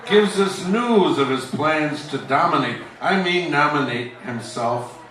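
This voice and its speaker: Man doing a slow, theatrical old-time radio announcer. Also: fairly high pitch, expressive, crowd noise. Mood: wry announcing. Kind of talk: parody of 1940s radio announcing. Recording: echoey, distant, music behind.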